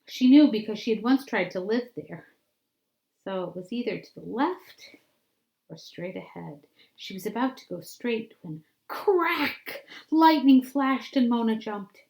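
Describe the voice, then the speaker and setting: Slow-paced woman reading a children's storybook. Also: fairly high pitch, lively intonation, vocal fry, a little mellow. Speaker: teacher reading aloud to children. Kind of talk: expressive children's storybook read-aloud.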